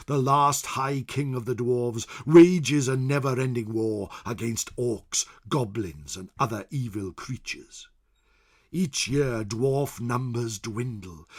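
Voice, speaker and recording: A man voicing a venerable old scholar in solemn, theatrical fantasy narration, with a husky voice that swells and drops away. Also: mid-range voice. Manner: theatrical, solemn, swelling then dropping to whispers. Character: venerable old male scholar chronicler, grave, fearful, awed by ancient lore. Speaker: storyteller. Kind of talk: dramatic in-character fantasy narration.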